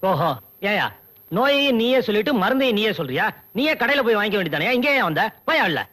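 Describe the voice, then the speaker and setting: A man's voice: high voice, big pitch swings, quick pace, sharp tone, exaggerated voice. An actor playing a sarcastic man doctor who teases and scolds his patients. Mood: exasperated. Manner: sarcastic, teasing.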